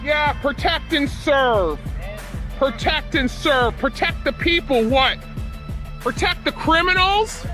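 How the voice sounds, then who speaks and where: A woman ranting angrily like a street protester, indignant and shouting. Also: high voice. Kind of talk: angry protest rant. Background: music.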